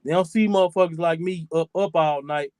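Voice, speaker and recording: A man's voice: fairly high pitch, a little fast, darkish tone, slight background noise. A man in his forties hosting a podcast, talking in a loose, profane, rambling way. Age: 40s. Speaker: podcast host. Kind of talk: casual chat.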